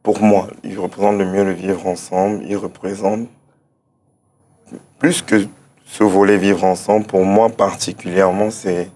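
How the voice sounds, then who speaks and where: Very deep, flat-voiced man, hesitant. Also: unhurried, a little hiss or hum. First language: German-speaking background. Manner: hesitant, repeats words, thinks aloud. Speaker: interview guest, start-up founder. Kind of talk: unscripted interview answers.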